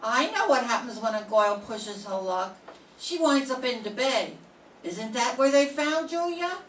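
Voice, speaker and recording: A woman's voice: on the high side, expressive, measured pace. An older woman playing a nervous, streetwise young cannery worker in a radio drama, chatty and wary. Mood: gossipy. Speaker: amateur radio drama performer. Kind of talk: live reading of a 1940s radio drama. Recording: echoey room, distant mic, faint noise.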